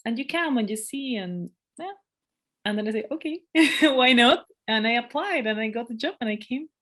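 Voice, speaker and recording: A woman in her thirties from Peru, speaking English with a thick Peruvian Spanish accent in a relaxed interview chat, laughing often and restarting her sentences. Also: fairly high pitch, normal speed. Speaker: podcast guest.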